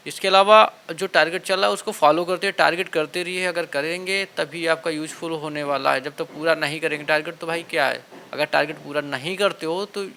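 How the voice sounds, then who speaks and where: A man coaches exam students in an informal, instructive way. His voice sits a little high and has a sharp, bright edge. He keeps urging listeners on and checking they follow, with faint noise behind him. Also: fairly even intonation, fairly quick, vocal fry, hardly any pauses. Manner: instructive, urging, often adds check-in tags. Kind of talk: informal motivational exam-prep talk to students.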